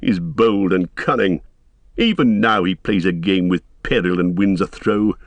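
A man playing a wise old wizard in a fantasy storybook, speaking with measured, solemn and stern authority. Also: sits low, dry recording, lo-fi sound.

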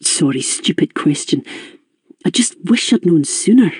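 A woman reading an audiobook, voicing a caring man who speaks in a halting, emotional way. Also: a little high, lively intonation, a little mellow, breathy edge, dry recording. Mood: regretful. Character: earnest caring man, angry on her behalf.

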